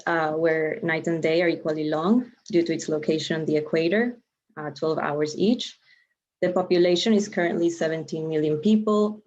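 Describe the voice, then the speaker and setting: Young woman from ecuador presenting over a video call, steady and explanatory, kinda muffled sound. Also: low voice. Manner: measured, explanatory, with frequent ums and uhs. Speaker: guest lecturer. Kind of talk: online lecture presentation. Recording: dull lo-fi video-call audio.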